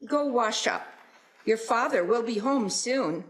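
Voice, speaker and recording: A woman playing a careworn, subdued Victorian mother in a radio play, gently firm. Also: on the high side, expressive, unhurried, poor audio. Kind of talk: stage radio play acting.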